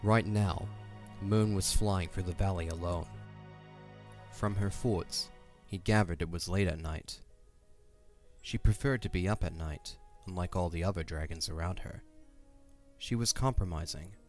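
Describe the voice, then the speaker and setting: A man narrating a scripted fantasy story in a dramatic audiobook style. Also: low-pitched.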